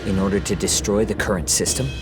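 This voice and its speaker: An actor playing a composed, analytical young man who talks in a calm, reasoning way in a game cutscene. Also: fairly low pitch, quick pace, over loud music, close to an everyday voice.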